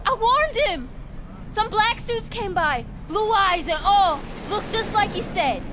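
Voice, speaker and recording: An actress playing a fervent, paranoid devotee of a street prophet in a video game, agitated and zealous. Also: female voice, very high-pitched, expressive, slow pace, a crowd in the background, noisy background, heavily acted. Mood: distraught, indignant. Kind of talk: dramatic video game voice acting.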